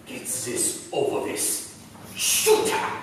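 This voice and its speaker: A man's voice: slow pace, close to an everyday voice. A man acting a courteous but menacing Nazi agent in a 1940s-style radio play, speaking formally and precisely. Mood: angry. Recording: echoey hall, distant mic, faint noise.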